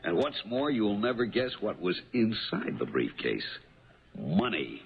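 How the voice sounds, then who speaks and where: An actor playing a jovial, shrewd police lieutenant in a 1970s radio drama. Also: male voice, fairly low pitch, very animated, measured pace, creaky, breathy edge, exaggerated voice. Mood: jovial, teasing. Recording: tinny, noisy 1970s radio broadcast.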